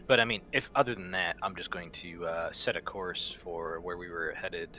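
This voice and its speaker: A man's voice: low-pitched, steady tone, moderate pace, sharp tone, dull audio. A man playing in a tabletop roleplaying game, talking casually with some swearing. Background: game soundtrack music.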